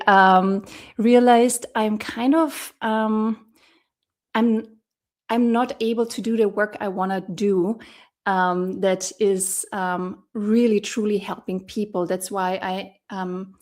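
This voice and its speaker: A woman from Austria working as a therapeutic coach, speaking over a video call in a thoughtful, hesitant way with many pauses and fillers. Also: mid-range voice, darkish tone. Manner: thoughtful, hesitant, many ums, laughs often. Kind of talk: casual interview talk.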